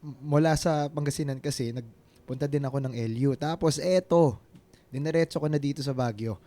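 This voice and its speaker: Man playing a highish-voiced friendly old flame. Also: normal speed, dark tone, lightly acted. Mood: friendly, chuckling. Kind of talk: naturalistic romantic radio drama dialogue.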